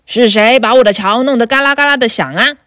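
A gruff, greedy storybook troll growls his threats in a voice pushed well below the narrator's own, as a woman puts him on. The sound is dry and close, with no room around it. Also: lowish voice, very animated, measured pace, fairly bright tone, no echo, voice pushed down. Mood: menacing. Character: gruff greedy male troll under a bridge.

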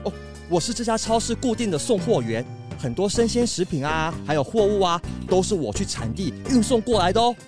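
A man's voice: high voice, very animated, a little fast, over music. A man playing a chatty, obliging delivery worker who explains things casually in a children's audio detective drama. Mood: helpful. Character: friendly, chatty male supermarket delivery worker.

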